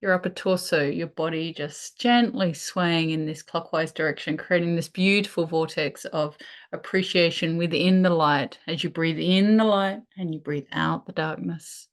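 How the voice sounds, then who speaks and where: A woman leading a guided meditation, speaking in a soothing, unhurried way with pauses between phrases. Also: low voice, fairly even intonation.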